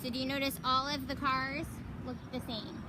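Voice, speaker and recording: A woman with a high voice reads a picture book to young children in the manner of an elementary teacher. She is expressive and chatty, slipping between story and casual explanation. Heavy background noise runs through the recording. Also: moderate pace. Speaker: elementary school teacher reading to pupils.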